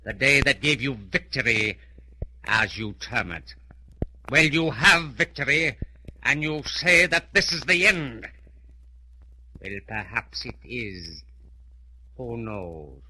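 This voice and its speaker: An actor playing a calm, cunning criminal mastermind doctor with formal, mocking courtesy in a 1940s radio drama. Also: male voice, medium pitch, slow pace, long pauses, full character voice. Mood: mocking, defiant. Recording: noisy old radio recording, clicks.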